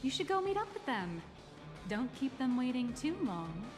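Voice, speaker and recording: A woman's voice: sits high, very animated, moderate pace. A woman voicing a polished, playful corporate tour guide in a video game, poised, knowing and courteous. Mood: confiding, courteous. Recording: music behind the voice.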